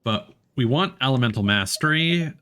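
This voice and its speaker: A man's voice: medium pitch, expressive, moderate pace, bright, forceful tone. A man livestreaming a video game, thinking aloud in a casual, rambling way and swearing now and then. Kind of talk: casual gaming stream chat.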